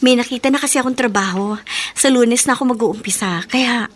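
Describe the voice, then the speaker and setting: A woman's voice: on the high side, a little fast, natural voice. A woman acting a hurt, betrayed, proud young woman in a melodramatic Tagalog radio drama. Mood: subdued, resolute. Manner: emphatic, melodramatic delivery.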